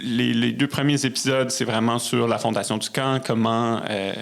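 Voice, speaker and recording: A man's voice: mid-range voice, fairly even intonation, normal speed, gravelly. A man with a Québécois French accent talking casually as an interview guest, reflective and a little hesitant. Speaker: podcast guest, musician and storyteller.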